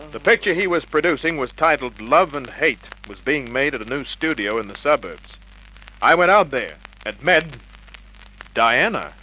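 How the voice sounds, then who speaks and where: An actor playing a cocky, wisecracking private detective with a brisk, slangy, hard-boiled delivery in an old radio drama. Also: male voice, medium pitch, bright, forceful tone, low-quality recording. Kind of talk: 1950s radio detective acting and narration. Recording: old radio recording, faint noise.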